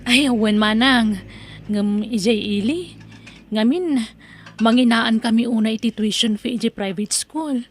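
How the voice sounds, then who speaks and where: Woman acting a chatty, high-voiced neighbour. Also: unhurried. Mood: curious. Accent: Ilocano. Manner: conversational, questioning. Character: chatty, inquisitive neighbour mother. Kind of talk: Ilocano audio drama acting.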